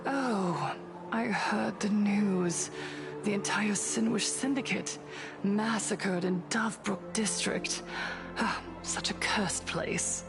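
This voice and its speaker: A woman's voice: medium pitch, vocal fry, music in the background. A woman playing a serene cult leader in a video game, preaching solemnly and theatrically. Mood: mournful, pious. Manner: slow, solemn, preaching delivery.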